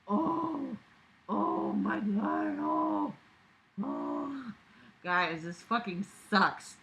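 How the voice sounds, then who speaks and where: Female reader-commentator, very bright and forceful, slow-paced delivery, theatrical, incredulous, freely swearing. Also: a little high. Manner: animated outbursts and sarcastic asides. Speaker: host of a reading-and-reacting video. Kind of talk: reading a story aloud with exasperated running commentary.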